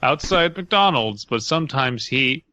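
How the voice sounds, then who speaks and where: A man's voice: mid-range voice, animated, measured pace, heavy background noise. A man co-hosting a podcast, joking casually and reading bits of text aloud. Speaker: podcast co-host.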